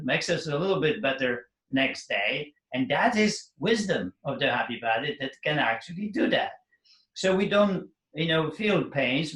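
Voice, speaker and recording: A man teaching a fitness method, talking casually to an audience in halting, non-native phrasing and laughing often. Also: mid-range voice, a bit bright, roomy sound, poor audio. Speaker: fitness teacher hosting a workshop.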